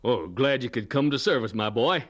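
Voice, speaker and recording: A man's voice: medium pitch, animated, brisk, soft background music, close to an everyday voice. An actor playing a fatherly country preacher in a radio drama, hearty, benevolent and exhorting.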